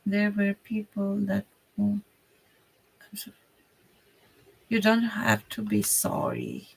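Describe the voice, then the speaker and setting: A woman from Romania with a Hungarian accent, chatting slowly and haltingly to her viewers while she crafts and thinks aloud. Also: mid-range voice, huge pitch swings, vocal fry, slightly rough, rough recording. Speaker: crafter streaming a journaling session. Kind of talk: casual live-stream chat while crafting.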